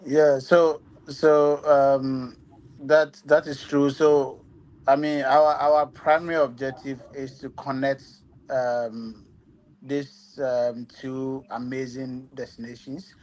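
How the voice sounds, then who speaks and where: A man's voice: mid-range voice, little pitch movement, slow pace, a little mellow, low-quality recording. A man from Ghana, a company founder answering interview questions earnestly, with many ums. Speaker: travel agency founder.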